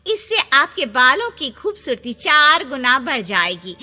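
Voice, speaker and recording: A manipulative cartoon shop salesgirl, put on by a woman, flattering and teasing. Her bright patter rises and falls playfully, in long reverb over light music. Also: high-pitched, exaggerated voice.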